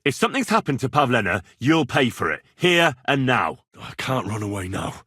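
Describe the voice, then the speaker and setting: Young medieval adventurer, husky, earnest, bold, plain-spoken, close dry sound, video game dialogue. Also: male voice, medium pitch, sing-song, moderate pace, pushed and bright, no room sound, exaggerated voice. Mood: angry, challenging, then musing. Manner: conversational, earnest, assertive when challenged.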